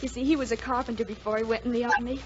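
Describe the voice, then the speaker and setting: An actress playing a gentle, brave young soldier's wife in a 1940s radio drama, reassuring and a little hesitant. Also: female voice, a little high, fairly even intonation, rapid-fire, lightly acted. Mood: tender, grateful. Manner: soft-hearted, reassuring, hesitant. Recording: dull, noisy 1940s radio recording.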